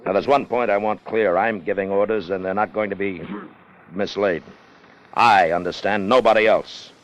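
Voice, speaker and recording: Actor as a low-voiced, domineering ship's officer, noisy recording. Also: male voice, steady tone, normal speed, lightly acted. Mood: impatient, commanding. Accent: American. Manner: domineering, clipped, intense. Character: hard, greedy, commanding ship's officer plotting for treasure. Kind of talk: 1950s radio drama acting, narration and dialogue. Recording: noisy vintage 1950 radio recording.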